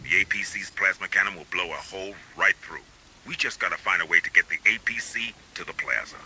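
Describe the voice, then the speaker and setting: Gruff-minded military commander in a video game, dry and wry, very sharp low voice, bit of vehicle noise behind. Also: male voice, a little fast, full character voice, poor audio. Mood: calm, cynical. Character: hardened, wry, cynical male military squad leader. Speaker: squad commander. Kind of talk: video game cutscene acting.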